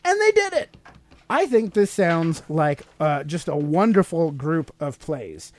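An American man hosts a casual radio-style podcast. His delivery is lively, rising and falling widely in pitch. He moves informally between chatting and reading aloud. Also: unhurried. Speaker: podcast host.

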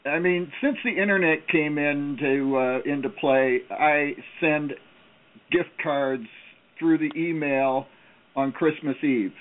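Slow, halting man on a tinny line. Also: on the high side. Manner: halting, self-deprecating, many "uh"s. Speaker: co-host. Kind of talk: casual chat. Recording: tinny phone-line radio sound.

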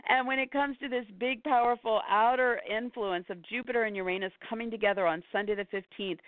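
A woman hosting a weekly horoscope show, hoarse from losing her voice, rattling off long lists with fillers and little laughs. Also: medium pitch, no room sound. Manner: rapid listing, with fillers and short laughs. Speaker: astrologer host. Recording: dry tinny phone-like line.

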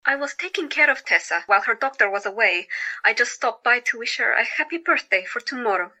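A joyous actress as a woman doctor of 1917 in an audio drama, with a very bright, forward voice. Her pitch sits on the high side and swings freely as she exclaims, teases and gets easily flustered. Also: brisk, full character voice. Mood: cheerful, modest. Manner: animated, exclaiming.

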